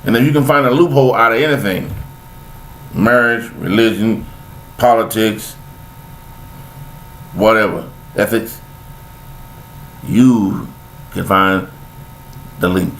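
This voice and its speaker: A man's voice: fairly low pitch, takes it slowly, long pauses, a bit bright, a little hiss or hum, poor audio. An older man, talking in a rambling, preachy monologue, repeating himself with folksy sayings. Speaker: vlogger giving life commentary.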